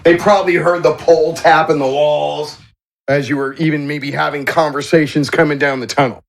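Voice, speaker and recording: A man narrating a tabletop game as game master, in halting, descriptive storytelling. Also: fairly high pitch, fairly even intonation, vocal fry. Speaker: game master and show host.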